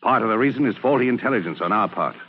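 A man's voice: lowish voice, animated, very fast, slightly rough, dull audio. A man playing a stern, commanding army general, authoritative and emphatic, in old-time radio drama style. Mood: grave, commanding. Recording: band-limited vintage radio recording.

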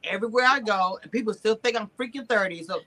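A woman's voice: mid-range voice, quick pace, sharp tone, low-quality recording. A woman in her forties bantering casually in a group chat, teasing and playfully challenging. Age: 40s. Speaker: panelist on a TV recap livestream.

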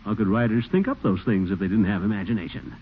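An actor with an American accent playing a pompous, gullible middle-aged official in a vintage radio comedy, blustering and self-important. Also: male voice, lowish voice, expressive, fast talker, breathy edge. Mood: self-satisfied. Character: pompous, gullible middle-aged water commissioner, easily flustered. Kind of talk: 1940s-50s American radio comedy acting. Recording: tinny, hissy old radio recording.

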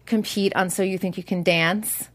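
A woman with a mid-pitched voice hosts a casual chat with her guests. She is effusive and talkative, asking questions and acting out little quoted remarks as she goes. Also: steady tone, moderate pace. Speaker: show host interviewing guests.

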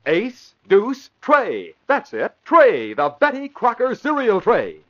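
Animated American old-time radio announcer, male. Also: sits high, slow pace. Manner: dramatic, emphatic, declamatory. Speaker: announcer and narrator. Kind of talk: 1940s radio announcing, dramatic scripted narration. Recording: muffled old radio broadcast recording.

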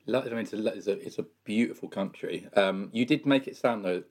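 A man's voice: lowish voice, quick pace. A man in his thirties co-hosting a podcast, rambling through jokey anecdotes. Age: 30s. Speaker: podcast co-host. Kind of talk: casual podcast chat.

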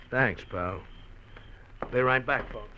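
An actor playing a smug, menacing crook in a vintage radio drama, mock-friendly and clipped, on a muffled noisy recording. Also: male voice, mid-range voice, drawn-out words. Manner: mock-friendly, threatening, clipped slangy talk. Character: menacing, smug male crook shadowing an old pal. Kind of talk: 1940s radio drama acting.

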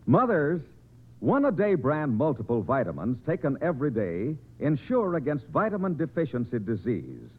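A man's voice: medium pitch, narrow, tinny audio. An American man reading a 1950 radio sponsor announcement with emphatic, formal salesmanship. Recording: thin, rough vintage radio recording.

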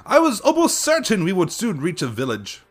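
A boisterous young student philosopher, as a male narrator voices him, always exclaiming and pleading in a raised, lively voice. His lines jump about in pitch and come out loud. Faint music plays behind the reading. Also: normal speed, exaggerated voice. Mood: exasperated.

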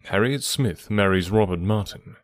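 A grave, determined gentleman of a period novel, as a male reader plays him. His voice is fairly low, with formal, measured phrasing and gentle teasing. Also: no room sound, clean studio sound, close to an everyday voice. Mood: resigned. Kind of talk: audiobook character dialogue.

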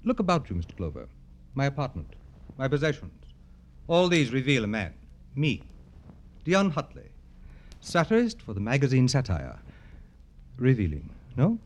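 Swooping, effete, jaded rich satirist. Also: male voice, medium pitch, huge pitch swings. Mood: world-weary, self-dramatizing. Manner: florid, theatrical, affected, drawn-out emphasis. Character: effete, jaded, arrogant wealthy satirist toying with people. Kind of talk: old-time radio drama acting.

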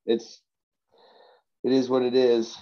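A man's voice: mid-range voice, completely flat delivery, takes it slowly, lo-fi sound. A man from Vancouver with a congested, stuffy nose, sick and chatting casually as a guest, full of slangy bros and dudes. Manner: slangy, says bro, dude and you know. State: sick with a stuffy cold from covid. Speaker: podcast guest, hobby rapper and poet. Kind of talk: casual chat, personal storytelling.

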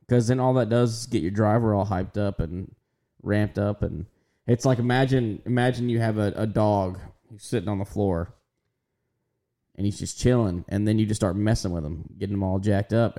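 A man's voice: fairly low pitch, warm tone. A young man co-hosting a podcast, chatting casually and rambling with a laid-back manner. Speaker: podcast co-host. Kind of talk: casual chat.

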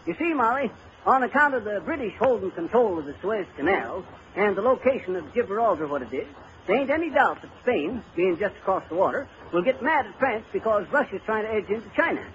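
An actor playing a shirking, blustering husband with folksy grammar and evasive hemming, in 1930s radio comedy style. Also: male voice, high voice, big pitch swings, a little fast, fairly bright tone. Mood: eager know-it-all. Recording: muffled, noisy old radio recording.

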